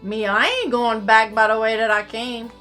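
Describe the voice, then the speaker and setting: A woman's voice: on the high side, fairly even intonation, moderate pace, sharp tone, faint music behind. A woman voicing a jolly, blustery little old Cajun Saint Nick who hollers and exclaims in dialect, for a children's storybook. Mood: stubborn.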